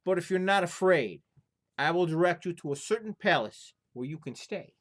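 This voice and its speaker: A man's voice: high voice, lively intonation, moderate pace, fairly bright tone, voice pushed up. Man as an emphatic, foreboding innkeeper. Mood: ominous warning. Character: innkeeper giving an ominous warning.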